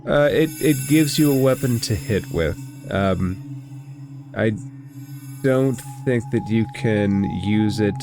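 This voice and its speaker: A man's voice: on the low side, slow pace, music in the background. A man acting as game master, narrating and explaining rules in a conversational way during a tabletop role-playing game.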